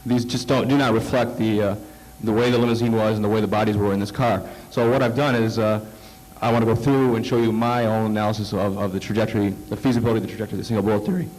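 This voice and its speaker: Man, conference presenter with a cold, halting delivery full of fillers, lower voice. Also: fairly even intonation, a little mellow, low-quality recording. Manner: halting, many fillers and restarts. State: coming down with a cold. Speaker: conference speaker. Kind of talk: slide presentation talk. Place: conference hall.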